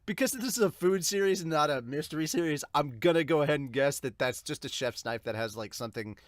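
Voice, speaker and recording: Male podcast host, casual reviewer, lively swooping intonation, slightly high voice, dry close sound. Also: fast, dry recording. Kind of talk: casual reaction commentary.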